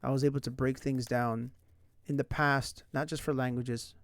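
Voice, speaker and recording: A young man streams late at night, talking through his thoughts in a loose, rambling way and dropping "like" and "right?" into most sentences. His voice has a gravelly, fried edge and a mid pitch. The sound is dry and close. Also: level tone, moderate pace, no room sound. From San Diego, United States. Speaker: language learning streamer. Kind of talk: casual livestream chat. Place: indoors at night.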